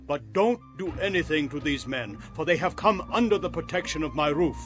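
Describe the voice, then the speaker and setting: Man playing anxious, pleading higher-voiced family man. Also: animated, a little fast, close to an everyday voice. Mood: desperate pleading. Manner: earnest, imploring. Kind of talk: dramatized scripture audio drama. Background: background music.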